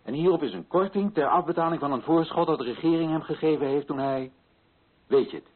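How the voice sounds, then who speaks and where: A man's voice: fairly high pitch, a little fast, slightly rough. An actor playing an earnest, indignant colonial official in a radio play, pressing his points with insistent rhetorical questions. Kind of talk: Dutch radio play acting. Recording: muffled old radio recording.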